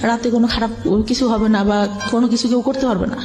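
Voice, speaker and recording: A woman with a Bangladeshi accent telling her own life story in a radio interview, haltingly, with frequent restarts. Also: fairly high pitch, steady tone, darkish tone. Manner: halting, with repeated pauses and restarts. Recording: music playing behind the voice. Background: background music.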